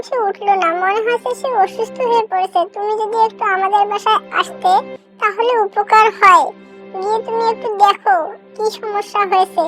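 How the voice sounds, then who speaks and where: A performer voicing an anxious young village boy in a cartoon, who pleads slowly and earnestly. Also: extremely high pitch. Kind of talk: cartoon dialogue.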